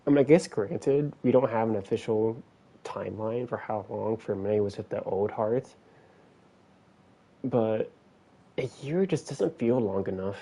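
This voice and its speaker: A man's voice: fairly low pitch, unhurried, mellow, breathy edge. A man streaming a video game, giving casual commentary as he muses and thinks aloud.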